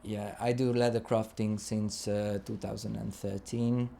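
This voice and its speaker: A man's voice: lowish voice, little pitch movement, gravelly, reverberant, noisy background. Hesitant young craftsman. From Hungary. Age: 20s. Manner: hesitant, many pauses and fillers. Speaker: leather craftsman. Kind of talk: interview about his small business.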